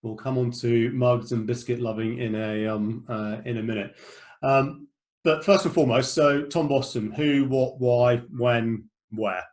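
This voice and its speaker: A man's voice: mid-range voice, steady tone, a little slow, a little mellow. Middle aged guy hosting a podcast from home, rambles, lots of ums. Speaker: podcast host. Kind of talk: casual podcast interview.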